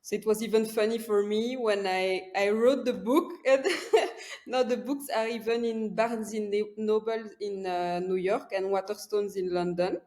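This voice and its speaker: A young woman from Belgium with a Belgian French accent, a native French speaker, talking as a psychiatrist guest on a podcast, softly and with many pauses. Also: mid-range voice, fairly even intonation. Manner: soft-spoken, searching for words with frequent "uh"; laughs lightly while speaking. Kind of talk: casual interview talk.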